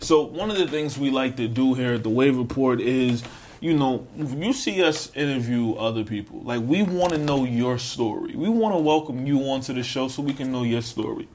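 A man hosting a podcast interview, speaking in drawn-out, emphatic phrases. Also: mid-range voice, darkish tone, slight background noise. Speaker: podcast host. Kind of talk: casual interview.

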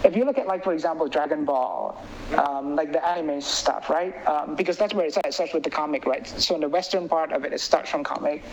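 A man from Indonesia with an Indonesian accent, chatting casually as an interviewed filmmaker in an animated, rambling way full of filler phrases. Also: a little high, fairly quick, low-quality recording. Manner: animated, rambling, peppers talk with "like" and "you know". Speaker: filmmaker and writer being interviewed.

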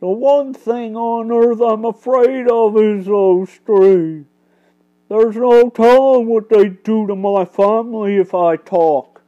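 A woman's voice: a little high, fairly even intonation, takes it slowly, phone-quality sound, voice pushed up. A woman voicing a frightened, humble male mouse carpenter in a storybook, pleading in folksy working-class speech. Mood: frightened, cowed.

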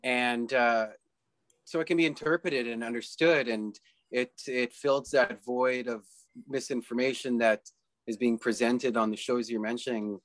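A man who works as a voice actor, co-hosting a casual interview in a measured, earnest way. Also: mid-range voice, steady tone, dry recording. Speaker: co-host, voice actor.

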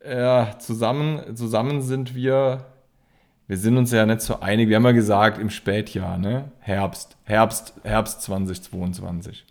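A man's voice: on the low side, fairly even intonation, a little slow, darkish tone. A man in his thirties from Rhineland-Palatinate with a Palatinate accent, co-hosting a casual podcast and stumbling through fillers and restarts. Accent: Palatinate German. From Rhineland-Palatinate, Germany. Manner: hesitant, many fillers and restarts. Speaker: podcast co-host. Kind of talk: casual chat.

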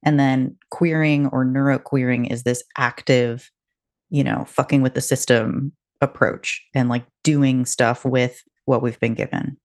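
A woman's voice: very deep voice, fairly even intonation, a little slow, darkish tone. A woman hosting a podcast in a casual, conversational way, affirming her guest and engaging with the ideas. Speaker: podcast host.

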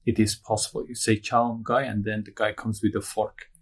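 A low, gravelly voice with a husky edge belongs to a young man from Iran whose mother tongue is Turkish. He speaks English, halting and restarting, and slips in dry jokes. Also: level tone. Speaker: guest. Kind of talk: candid interview conversation.

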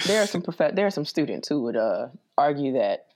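Interview host, mid-range voice, lively and chatty, prompts the guest. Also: mid-range voice, slight background noise. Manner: lively, asks for repeats, quick asides. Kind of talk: casual interview.

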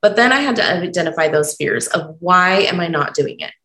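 A woman hosting a solo podcast, talking unscripted and encouraging while stumbling over her words, her voice croaky from being sick. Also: on the low side, animated, a little fast, fairly bright tone, reverberant. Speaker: podcast host.

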